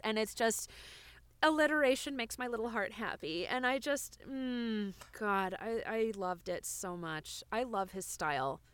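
A woman playing an excitable, bookish amateur podcaster in an improvised comedy, chatting effusively and repeating words for emphasis. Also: medium pitch, clean tone, no room sound, close to an everyday voice. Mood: gushing. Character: gushing, bookish female amateur podcaster, excitable writer friend. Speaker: co-host of a book review podcast.

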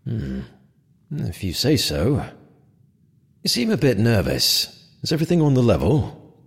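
An actor playing a cunning, suspicious medieval sheriff with a measured, wry manner in an audio drama. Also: male voice, medium pitch, huge pitch swings, vocal fry, husky, heavily acted. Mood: probing, wry.

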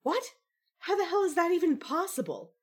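Woman acting a high-pitched, sharp teenage sister. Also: very animated, normal speed. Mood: alarmed. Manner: polite diction mixed with swearing. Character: sharp, formal-spoken, swearing teenage sister. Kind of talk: fan fiction audio drama acting.

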